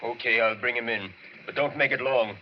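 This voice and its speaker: A man's voice: mid-range voice, fairly quick, lightly acted. An actor playing an uneasy male sanitarium attendant, blunt and colloquial, in 1940s radio drama style. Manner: colloquial, clipped, jumpy. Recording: muffled old radio, faint noise.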